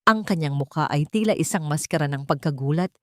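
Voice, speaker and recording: A woman's voice: low-pitched, fairly quick, dry recording, studio quality. A woman with a Filipino accent narrating a scripted drama in a solemn, theatrical manner. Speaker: story narrator.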